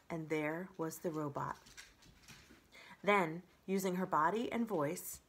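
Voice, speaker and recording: A woman in her forties reading a children's book aloud as an expressive storyteller. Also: medium pitch, slightly rough.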